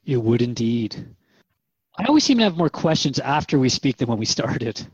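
A man's voice: medium pitch, very animated, moderate pace, husky, dry recording, highs cut off. A man playing a modest, earnest radio interviewer in a scripted radio drama. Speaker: radio show host and interviewer.

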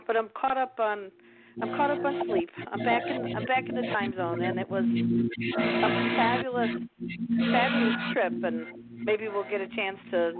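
A slightly hoarse woman co-hosting a live online show, chatty and playful, with a self-deprecating humor. Her voice sounds thin and phone-like over the call. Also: mid-range voice. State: a bit hoarse after travel. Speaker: podcast co-host, HR professional. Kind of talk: casual chat.

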